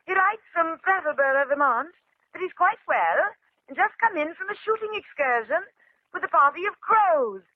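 A theatrical stage actress declaims quaint comedy in a radio drama. Her voice is extremely high and swoops widely, exaggerated and projected, on a thin, phone-like old recording. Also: animated, normal speed. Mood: gushing. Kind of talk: 1950s radio acting of old stage delivery. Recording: noisy, narrow-band 1950s radio recording.

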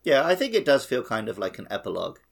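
A man's voice: medium pitch, big pitch swings, quick pace, creaky. A man co-hosting a podcast, chatting casually about a video game. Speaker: podcast co-host.

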